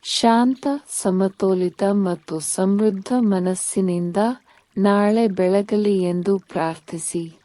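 Female meditation guide: soft-toned, dark and mellow, very slow scripted delivery with soothing pauses. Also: medium pitch, steady tone, no room sound. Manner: soothing, unhurried, instructing in short phrases with pauses.

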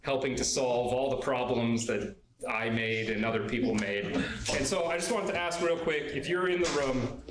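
A man in his thirties giving a live technical talk as an engineer, informal and energetic with many restarts, speaking into a stand mic in a large room. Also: medium pitch, normal speed, background noise. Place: large open event room. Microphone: stand microphone at a podium. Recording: roomy live talk audio.

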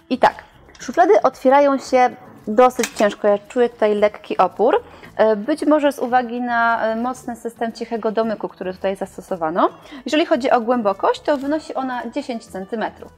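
A young woman presenting and reviewing furniture in a showroom, in a clear, explanatory scripted delivery. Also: fairly high pitch, a little mellow.

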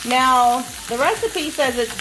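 Higher-voiced American woman over noisy kitchen sizzle. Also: expressive, unhurried. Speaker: family vlog host filming. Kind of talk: casual cooking vlog commentary. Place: home kitchen, indoors. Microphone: handheld camera or phone, held by speaker. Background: frying sizzle, kitchen ambience.

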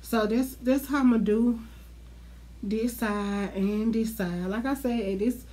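A young woman talks to her camera in a small dark room, her voice stuffy and congested. She drawls slowly and bluntly, swearing freely as she chats to her viewers. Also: fairly high pitch. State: stuffy, congested from a sinus infection. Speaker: beauty vlogger. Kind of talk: casual wig review to camera.

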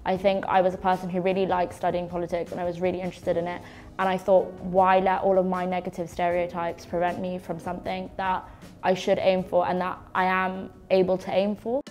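A woman's voice: on the low side, completely flat delivery, fairly quick, darkish tone. A woman in her early twenties, a university student talking informally to camera on a lapel mic. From Uganda, living in London. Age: early 20s. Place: bright room with large windows. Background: background music.

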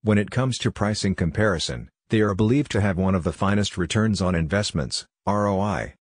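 A man narrating a scripted farming guide in a steady, even reading voice. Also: deep voice, brisk, dry recording, studio quality.

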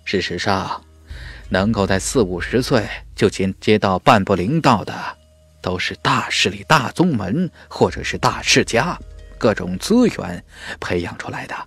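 A man's voice: on the low side, animated, measured pace, fairly bright tone, breathy edge, no room sound, faint music behind, heavily acted. A man voicing a genial, well-informed young male warrior in an audiobook, explaining things affably. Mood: informative.

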